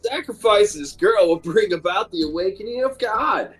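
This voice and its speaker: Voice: mid-range voice, lively intonation, moderate pace, breathy edge, faint music behind. A performer voicing a gleeful, taunting theatrical villain in an amateur comedic game fandub. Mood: gleeful, grandiose. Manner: mock-cheerful, grandiose, mocking.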